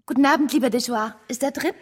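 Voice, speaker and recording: An actress playing a proud, anxious, lovesick gentlewoman in a German radio drama, earnest and emotional. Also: high-pitched, fast talker, husky. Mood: polite, insistent. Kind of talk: German radio drama, dialogue and first-person narration.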